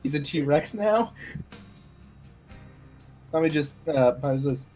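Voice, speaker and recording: A man's voice: a little high, animated, takes it slowly, darkish tone, soft background music. A man playing a tabletop roleplaying game, talking casually and describing his character's actions.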